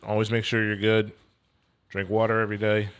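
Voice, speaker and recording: A man's voice: lowish voice, monotone, a little fast, clear, resonant tone. A man who hosts a sports radio show, speaking as a guest on a podcast in an easygoing, plain-spoken way. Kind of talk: casual podcast chat.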